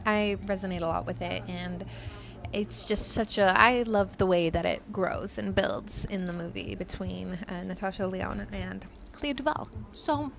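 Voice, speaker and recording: A woman's voice: mid-range voice, a little slow, vocal fry. A woman of about twenty whose first language is Swedish, adding casual, reassuring asides to her viewers. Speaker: vlogger. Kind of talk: added voice-over aside to viewers. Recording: noisy background hiss.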